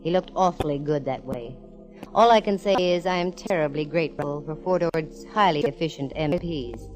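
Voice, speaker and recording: A woman's voice: sits low, normal speed, a little mellow, close to an everyday voice, low-quality recording. An American actress playing a wry, wisecracking, self-assured female private eye, narrating in 1940s radio detective style. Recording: old radio recording, faint organ music.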